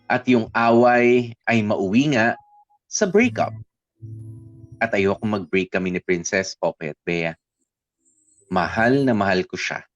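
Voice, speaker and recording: A man's voice: on the low side, lively intonation, unhurried, pauses often. A man playing an insecure, lovesick young man who confidingly narrates his own story in a Taglish radio drama. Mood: anxious, devoted. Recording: dull, muffled audio under music.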